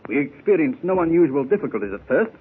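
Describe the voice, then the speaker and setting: A man plays a seasoned Arctic explorer captain, spinning a formal, measured yarn in a fairly high, lively voice. The sound is a thin, tinny 1930s radio transfer. A faint hiss runs under it. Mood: recounting. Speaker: club member telling his adventure. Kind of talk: 1930s radio drama acting.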